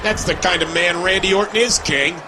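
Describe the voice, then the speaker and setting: A man's voice: high voice, a little fast, lightly acted. A man playing an earnest play-by-play wrestling announcer, delivering broadcast commentary in a video game. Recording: loud music behind the voice.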